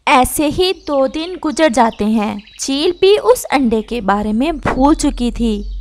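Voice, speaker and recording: Female narrator for children's fables: high voice, unhurried scripted storytelling.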